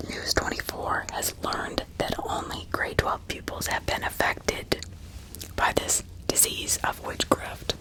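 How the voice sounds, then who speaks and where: A woman whispering ASMR true-crime commentary in a breathy, unvoiced, conversational way with emphatic repetition. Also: takes it slowly, rough recording. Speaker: ASMR host.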